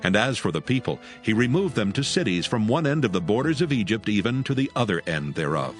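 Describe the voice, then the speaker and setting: A man narrating a dramatized scripture reading in a solemn, expressive way. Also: lowish voice, a little fast, faint music behind.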